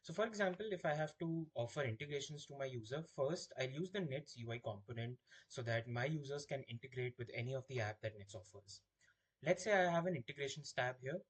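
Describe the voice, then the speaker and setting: A man with a husky, slightly rough voice walks through a software product. He explains each step in an orderly way, like a presenter giving a tutorial, at a middling pitch. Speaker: product demonstrator. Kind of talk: software demo walkthrough.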